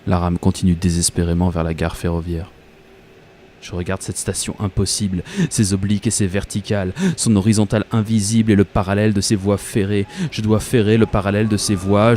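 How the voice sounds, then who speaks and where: A deep-voiced actor as a dreamy commuter tells his own radio story. His mellow voice is wry and confiding, full of colloquial turns. A faint layer of ambient noise sits behind him. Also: level tone, brisk, lightly acted. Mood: intent, confiding. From Paris, France. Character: dreamy, obsessive commuter, wry and self-mocking. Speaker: narrator and protagonist. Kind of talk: first-person radio fiction monologue.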